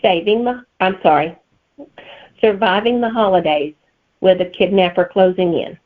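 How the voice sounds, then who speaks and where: A woman's voice: mid-range voice, moderate pace. Older-ish alabama lady, southern accent, author being interviewed, phone-like audio. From Alabama, United States. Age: middle-aged or older. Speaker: guest author. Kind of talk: interview answers. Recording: tinny phone-like line.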